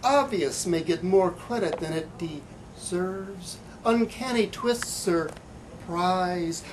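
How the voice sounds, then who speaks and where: A man giving a live poetry reading in a bookstore, with halting, hesitant asides and deliberate delivery. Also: on the high side, low-quality recording. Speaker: poet. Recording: light background room noise.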